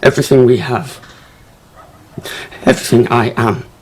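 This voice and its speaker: A man's voice: medium pitch, fairly even intonation, moderate pace, husky, lightly acted. An actor in a radio drama playing an earnest young son, hurt and accusing as he confronts his father. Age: young adult.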